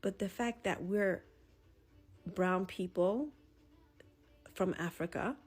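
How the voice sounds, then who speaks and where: A woman's voice: lowish voice, slow pace, darkish tone, slightly rough, soft background music. A woman giving a casual, opinionated social media monologue, conversational and emphatic, repeating her points.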